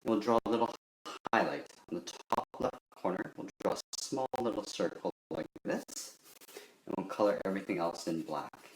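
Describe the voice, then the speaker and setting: A man's voice: low voice, moderate pace. A man giving a step-by-step drawing tutorial in simple, methodical instructions. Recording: roomy echo with faint background noise.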